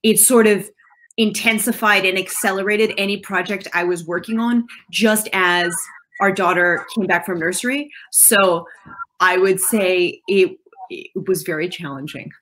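A woman from Switzerland in her thirties speaks as an interview guest from her home office. Her voice is bright and clear, and her answers are thoughtful and measured. A faint hiss of noise sits under the video call. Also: mid-range voice, low-quality recording. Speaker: guest, digital art consultant.

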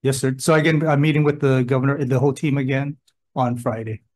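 Man, mid-pitched voice, official briefing senators, casual plain speech, hearing room. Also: steady tone, fast talker, darkish tone. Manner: plain-spoken, conversational, gives examples. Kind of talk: testimony at a legislative hearing.

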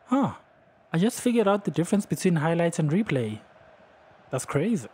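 A young man who is not a native English speaker, rambling excitedly over his own gameplay with lots of fillers. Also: fairly high pitch, moderate pace. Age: young adult. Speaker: gaming youtuber. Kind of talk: casual gameplay commentary.

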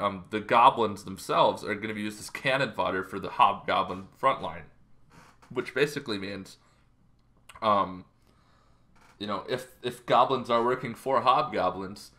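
A man in his thirties explaining things casually as a show host, in an informal, halting way. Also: fairly low pitch, sharp tone. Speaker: host of a tabletop roleplaying lore show. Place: bedroom, indoors.

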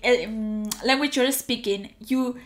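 A young woman from Spain with a Spanish accent, chatting casually to camera as a reaction host in an animated, halting, giggly way. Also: fairly high pitch. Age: young adult. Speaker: host of a music reaction channel. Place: small room at home, indoors.

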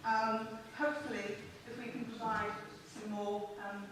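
A woman giving an unscripted formal reply as a councillor, speaking haltingly and deliberately, repeating words for emphasis. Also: fairly high pitch, little pitch movement. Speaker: councillor responding to public speakers. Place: council meeting chamber. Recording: very echoey room, distant mic, noisy.

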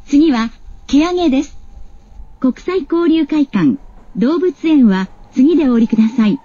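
A woman's voice: high voice, animated, unhurried, warm tone. A woman making formal, polite scripted station announcements as an automated train voice. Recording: dull, muffled announcement recording.

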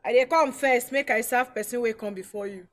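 A woman's voice: fairly high pitch, fairly quick, natural voice. A woman playing a brusque, bossy Nigerian eatery owner in an audio drama, scolding in Pidgin. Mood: brisk, bossy. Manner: scolding, no-nonsense.

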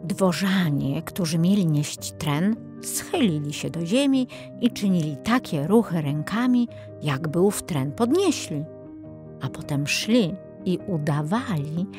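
A woman narrates a children's fairy tale at a slow, measured pace. Her delivery is expressive, in the manner of a storyteller, and there is some music in the recording. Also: on the low side. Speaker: audiobook narrator.

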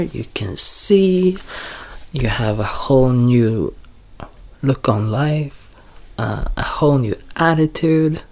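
Young guy doing asmr doctor roleplay, soft n slow, kinda halting n deadpan, some background noise. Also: fairly high pitch. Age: young adult. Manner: hesitant, halting, drifting into whispers. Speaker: ASMR performer playing a bumbling doctor. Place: small curtained room at home, indoors.

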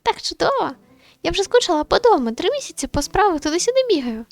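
A woman voicing a chatty, eager, insistent young woman in a visual novel, with animated, friendly delivery. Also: very high-pitched, fast, no room sound, faint music behind, voice pushed up, heavily acted. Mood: delighted.

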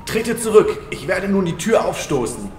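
A man's voice: high-pitched, sing-song, normal speed, a little mellow, slight background noise. A man playing an upbeat, take-charge podcaster leading an alien hunt in an amateur sci-fi comedy audio drama. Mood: urgent. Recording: echoey, distant sound with music behind.

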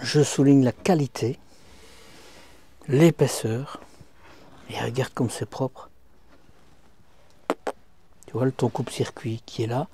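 A man's voice: mid-range voice, fairly even intonation, slow, pauses often, warm tone, slight background noise. Chatty middle-aged man touring a camper van. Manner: chatty, addresses viewer directly, frequent hop-hop and hein. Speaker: motorhome reviewer. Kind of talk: casual walkthrough vlog. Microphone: small clip-on mic.

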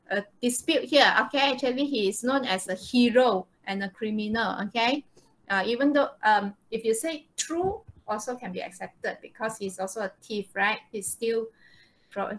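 A woman's voice: mid-range voice, moderate pace, low-quality recording. A woman with a Malaysian accent teaching a live online English lesson, informal and often checking with short tag questions. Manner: informal, checks with "okay" and "right?". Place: online video call, presenting slides.

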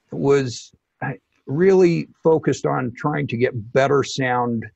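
Male, middle-aged, technical expert guest. Hesitant, informal, husky delivery; slightly dull recording. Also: medium pitch, dry recording. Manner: halting, hesitant delivery with many pauses. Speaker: loudspeaker company technical director, interview guest. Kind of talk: informal interview explanation.

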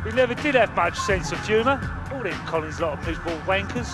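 Cocky high-pitched British gangster. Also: male voice, animated, fast. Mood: sneering, reckless. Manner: cocky, sneering. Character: cocky, sneering, reckless male gangster. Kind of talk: game cutscene acting. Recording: game audio under loud music, engine noise.

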